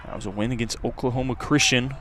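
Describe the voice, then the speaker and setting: A man's voice: mid-range voice, steady tone, fast talker. A man calling live baseball play-by-play as a sports radio announcer. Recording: outdoor ballpark ambience noise.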